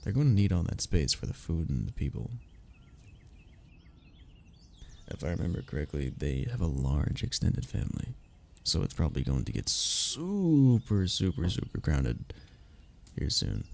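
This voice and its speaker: A young man acting an affectionate, playful best friend in an intimate audio roleplay, soft-spoken and gently teasing, with a gravelly, husky voice. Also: rumbling low, huge pitch swings, moderate pace, exaggerated voice. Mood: relaxed, fond. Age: young adult.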